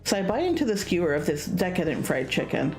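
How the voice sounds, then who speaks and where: A woman reads a story game aloud for viewers in a fairly low voice. Her delivery swings up and down expressively, with casual asides. Soft music plays behind her. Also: fast. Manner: animated, acts out dialogue. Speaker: streamer reading a visual novel aloud.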